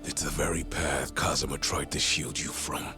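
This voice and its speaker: An actor playing a grim veteran gangster in a video game cutscene, speaking in a hushed, gravelly way. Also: male voice, extremely low pitch, fairly even intonation, normal speed. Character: grim veteran gangster warning a young man. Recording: dialogue over game music.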